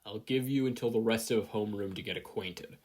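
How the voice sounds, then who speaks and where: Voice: medium pitch, brisk, a little mellow, close to an everyday voice. A reader as a drawling, weary male teacher. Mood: grumbling. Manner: drawling, bored, grumbling. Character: weary, deadpan, grumbling adult male teacher.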